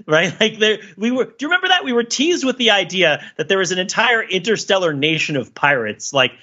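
Animated, bright-voiced man riffing. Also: high voice, fairly quick, dull audio. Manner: animated, riffing with rhetorical questions. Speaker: podcast co-host. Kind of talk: casual, opinionated pop-culture banter.

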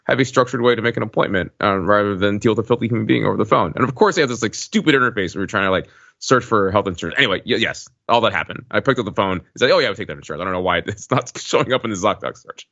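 Low voiced guy on a podcast call, talks fast, wry, slightly muffled audio. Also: lively intonation, vocal fry, fairly bright tone. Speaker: podcast co-host. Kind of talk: casual chat. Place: remote, on a video call. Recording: slightly dull video-call sound.

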